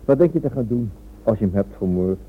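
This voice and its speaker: A man's voice: on the low side, expressive, a little fast, breathy edge. A man playing a defiant captive in a radio play, sardonic and insistent as he reasons with his captors. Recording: thin narrow-band old radio drama recording.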